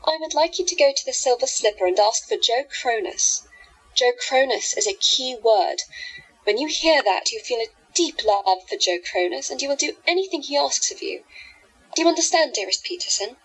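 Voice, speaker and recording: A woman playing a sweetly manipulative hypnotist in a radio play, soft and musical, coaxing people to obey. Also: high-pitched, expressive, moderate pace, slightly rough, heavily acted, low-quality recording. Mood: coaxing, commanding. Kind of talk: audio drama acting.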